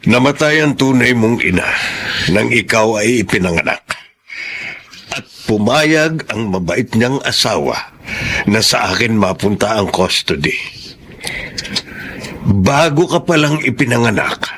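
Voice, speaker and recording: A man's voice: on the low side, expressive, measured pace, long pauses, a bit bright, slight background noise. An earnest, remorseful Filipino father. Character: remorseful older father confessing an old affair to his daughter. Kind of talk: Filipino radio drama acting.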